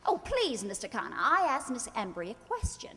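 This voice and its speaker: A woman's voice: fairly high pitch, huge pitch swings, normal speed, pushed and bright, heavily acted. A woman acting a haughty, gushing society bride in a radio play, with a grand, affected manner. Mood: prying, imperious. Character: haughty, sharp-tongued society bride playing gushing hostess. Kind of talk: radio play acting of a 1940s screwball comedy. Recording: reverberant hall stage recording.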